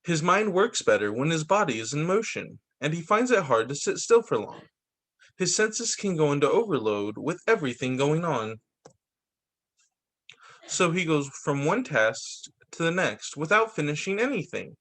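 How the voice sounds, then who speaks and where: A man reading a children's book aloud as a teacher would, expressive, with deliberate emphasis and the odd halting restart. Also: a little high. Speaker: teacher reading picture books. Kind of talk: read-aloud story time for children.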